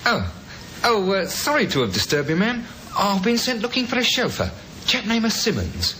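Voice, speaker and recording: A man's voice: high voice, huge pitch swings, moderate pace, pushed and bright, a little hiss or hum, full character voice. A man playing a courteous, apologetic, grumbling errand man in a 1950s radio drama.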